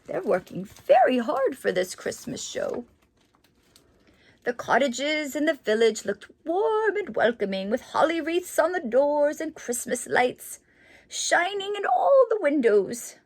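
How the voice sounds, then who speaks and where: A woman in character as a children's storyteller, reading in a theatrical, gushing manner with exclamations and dramatic pauses. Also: high voice, big pitch swings. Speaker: children's storybook reader in character as Mrs. Claus. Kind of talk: animated storytelling for young children.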